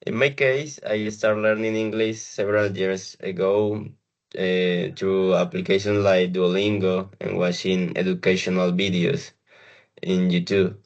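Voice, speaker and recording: A male student podcast host with a Colombian Spanish accent. His voice is very low and quite monotone, and he speaks slowly with halting pauses. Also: highs cut off. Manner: hesitant, halting phrasing.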